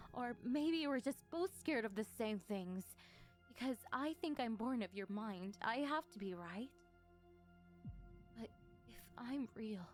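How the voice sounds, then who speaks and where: A woman voicing a lonely, needy female sleep paralysis demon in an intimate ASMR roleplay, hesitant and soft-spoken. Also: fairly high pitch, natural voice. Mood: wistful, uncertain.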